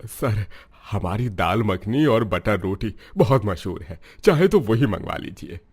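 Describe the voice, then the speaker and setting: An actor voicing a poor, humble roadside cook and worried father in a cartoon story, polite and soft-spoken. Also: fairly low pitch, expressive, unhurried, breathy edge. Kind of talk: cartoon voice acting.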